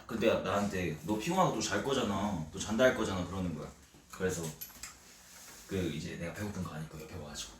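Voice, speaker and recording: Low, laid-back young man. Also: fairly quick, recorded from a distance. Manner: relaxed, teasing, drawn out. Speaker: idol group member on a livestream. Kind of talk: casual livestream chat. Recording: echoey room, off-mic, faint noise.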